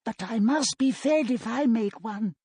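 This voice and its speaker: A man voicing a greedy, self-interested gentleman who demands his lunch in an audiobook. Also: very high voice, measured pace, husky, dry recording, voice pushed up, full character voice. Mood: grudging. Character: gentleman with a growth on his nose, greedy, hungry. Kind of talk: audiobook character acting.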